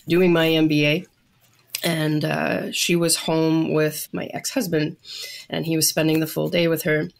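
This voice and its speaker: Canadian woman podcast guest telling her story, measured and chatty, super creaky gravelly voice. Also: low voice. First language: English. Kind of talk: conversational interview storytelling.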